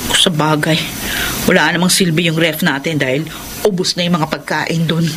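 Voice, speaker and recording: An actress playing a long-suffering Filipino wife in a radio drama, plaintive and exasperated. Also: female voice, low voice, fairly even intonation, normal speed, noisy background, natural voice. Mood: despairing. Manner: plaintive, complaining. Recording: music under the voice.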